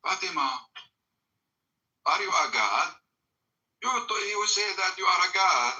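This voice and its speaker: Emphatic man, bright tense voice. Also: high-pitched, expressive, unhurried, muffled recording. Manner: broken English with many fillers, emphatic. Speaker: storyteller recounting family memory. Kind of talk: emotional personal storytelling.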